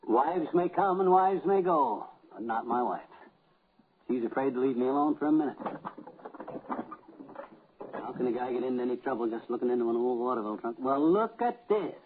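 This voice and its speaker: Man acting boastful folksy husband, lively swooping pitch, fast, blustering, 1950s radio comedy, narrow-band old recording. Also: a little hiss or hum, natural voice. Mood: musing. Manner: blustering, rambling, self-assured. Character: boastful, folksy husband, a former vaudeville comic.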